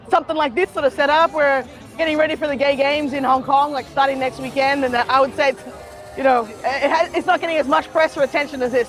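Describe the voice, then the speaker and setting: A woman's voice: high voice, low-quality recording. A woman from Australia chatting enthusiastically outdoors at a city parade. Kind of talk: street vox pop.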